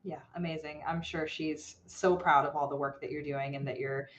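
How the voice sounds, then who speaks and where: A woman's voice: low voice, fairly even intonation, normal speed, a little hiss or hum. A woman hosting an interview podcast, upbeat and enthusiastic with her guests. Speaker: podcast host. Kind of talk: casual interview.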